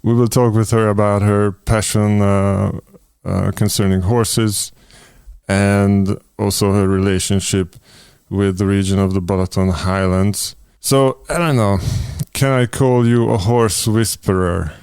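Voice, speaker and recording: Smooth-voiced man hosting. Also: fairly low pitch, steady tone, unhurried, darkish tone, dry recording, clean studio sound. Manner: hesitant, many fillers and restarts. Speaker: podcast host. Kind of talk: online interview.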